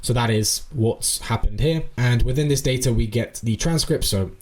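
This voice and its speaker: A man giving an informal, explanatory software walkthrough, thinking aloud as he presents. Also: mid-range voice, normal speed. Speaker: tutorial presenter.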